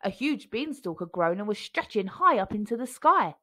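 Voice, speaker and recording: A woman reading a children's storybook aloud with expressive narration. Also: mid-range voice, moderate pace.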